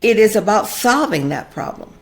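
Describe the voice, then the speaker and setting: Older woman host with a creaky voice. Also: medium pitch, sing-song, normal speed. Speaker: podcast host. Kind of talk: conversational interview.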